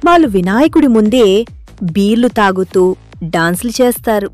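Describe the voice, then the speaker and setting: A woman's voice: mid-range voice, expressive, normal speed. A woman narrating a scripted moral story. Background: background music.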